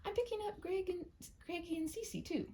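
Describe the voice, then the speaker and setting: A woman voicing a genial, chatty older grandmother in a read-aloud story, fondly exasperated and expressive. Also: very high voice, normal speed, a little hiss or hum, pitched above the performer's own voice. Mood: cheerful, chatty.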